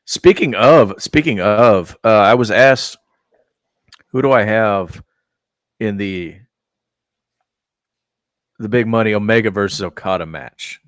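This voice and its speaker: A man from Texas hosts a relaxed online chat with a fairly low voice. He rambles, circling back with fillers and restarts. The sound is dry, with no room echo. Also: slow pace, long pauses. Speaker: podcast host. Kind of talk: casual chat about wrestling and gaming.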